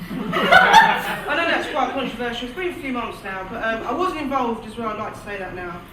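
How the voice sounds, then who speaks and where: Deadpan woman comic. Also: a little high, moderate pace. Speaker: stand-up comedian. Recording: echoey room from far off, loud noise.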